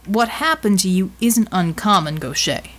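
A woman's voice: fairly low pitch, moderate pace, lightly acted. A woman narrator voicing a big, stern military man in an audiobook, blunt, matter-of-fact and unsentimental.

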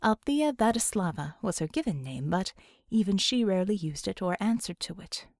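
A woman's voice: medium pitch, animated, normal speed, no echo, studio quality. A woman reading scripted fiction as an audiobook narrator.

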